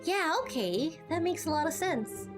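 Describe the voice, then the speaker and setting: A woman doing amateur game dubbing as a plucky, excitable young female trainee fighter. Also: very high-pitched, normal speed, music in the background, pitched above the performer's own voice. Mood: eager.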